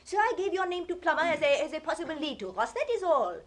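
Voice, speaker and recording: An actress playing a curt, haughty, hard-boiled French femme fatale with a French accent in a 1940s radio drama. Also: female voice, sits high, big pitch swings, quick pace. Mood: cool, dismissive.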